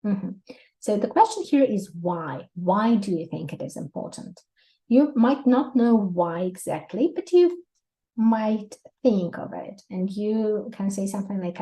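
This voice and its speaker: A woman in her thirties with a Russian accent, teaching an English exam prep lesson in a lively way, laughing often and giving many examples. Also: mid-range voice, low-quality recording. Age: 30s.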